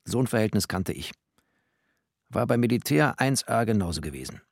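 An actor playing a wry, bookish narrator, a poor postwar settler, in literary first-person narration. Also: male voice, on the low side, expressive, brisk, gravelly, dry recording, clean studio sound. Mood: wry, matter-of-fact. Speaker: narrator of a literary radio play.

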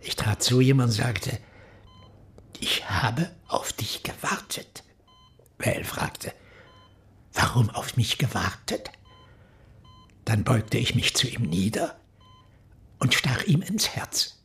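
An actor playing a fanatical preacher who calmly confesses to murder in a radio crime drama, with a slow, hushed, zealous delivery. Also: male voice, mid-range voice. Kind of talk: radio play acting.

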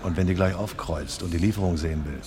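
An actor playing a bossy, menacing crook giving curt orders in a German radio crime drama. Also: male voice, deep voice, fast, over music, natural voice. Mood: commanding. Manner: curt, commanding.

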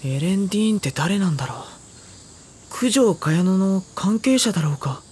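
A woman's voice: medium pitch, expressive, moderate pace, darkish tone, slight background noise, natural voice. Woman playing a mild, hesitant schoolboy. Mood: puzzled. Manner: polite, hesitant, slightly exasperated. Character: mild, hesitant, polite high school boy. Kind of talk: Japanese audio drama acting.

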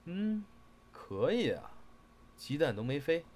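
An actor playing a steady, fond young stage performer, a matter-of-fact older brother type with a northern Chinese accent. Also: male voice, fairly high pitch, slow, noisy background, heavily acted. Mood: gentle, probing. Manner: matter-of-fact, gently scolding. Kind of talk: audio drama acting.

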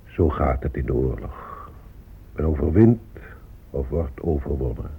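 Very deep-voiced, sorrowful native ruler. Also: male voice, slightly rough, exaggerated voice. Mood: bitter, resigned. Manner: solemn, measured delivery. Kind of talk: radio drama monologue. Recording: muffled phone-like old radio recording.

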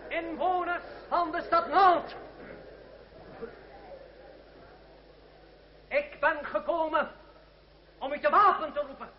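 An actor playing a fiery revolutionary orator in a radio drama, declaiming solemnly to rouse townspeople to arms. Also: male voice, very high-pitched, unhurried, a little hiss or hum. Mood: urgent appeal. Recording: muffled old radio recording, crowd around.

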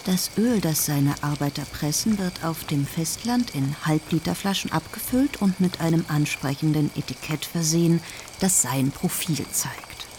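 An actress playing a businesslike colonial creditor, recounting in a dry, matter-of-fact way in a radio drama. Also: female voice, sits low, normal speed, a little mellow, natural voice. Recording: noisy outdoor ambience.

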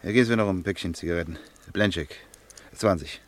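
Actor as a curt, low-voiced stranger. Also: male voice, brisk, close to an everyday voice. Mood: curt, restless. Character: curt, hurried male stranger, a late-night customer. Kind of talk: German radio drama acting.

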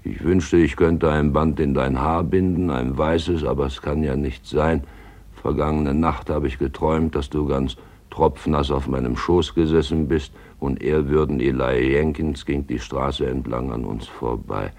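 Radio play draper: rock-bottom gravelly bass, intimate, lovelorn, dryly complaining. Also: male voice, steady tone, measured pace, darkish tone. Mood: wistful, tender. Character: lovelorn, grumbling male small-town draper. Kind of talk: radio play monologue, reading a love letter.

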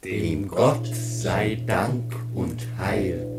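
A man's voice: sits low, drawn-out words, full character voice. A man playing a celebrating chorus member in a radio play, chanting rhythmically and ceremonially over music. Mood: jubilant, ceremonial. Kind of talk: German radio play acting of classical comedy. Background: loud instrumental music.

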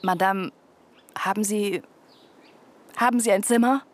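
A woman's voice: mid-range voice, steady tone, a little slow, natural voice. An actress playing a polite, resolute young woman secret agent on the run in a radio drama. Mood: pleading.

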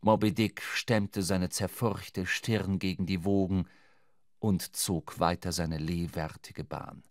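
A man's voice: sits low, fairly even intonation, slow, no echo. A man narrating a literary sea adventure for a radio drama, recorded close in a studio.

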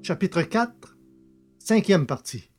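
A man's voice: high voice, very animated, takes it slowly, soft background music. A man reading scripted science-fiction story narration.

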